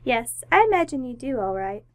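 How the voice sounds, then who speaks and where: A woman's voice: high voice, big pitch swings, brisk, a little mellow. A woman acting in an old-time style radio play as a polite, demure young woman with a prim, agreeable manner. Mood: polite, eager.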